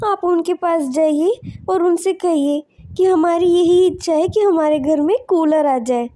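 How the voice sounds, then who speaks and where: A woman voicing a worried, earnest poor village wife and mother in an animated moral story. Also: very high voice, measured pace. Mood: plaintive, urging.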